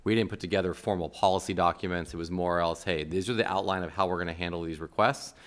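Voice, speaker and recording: A man, the city manager, explaining a pilot program to the council in a fluent, quick manner. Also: sits low, fairly even intonation. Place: council chamber.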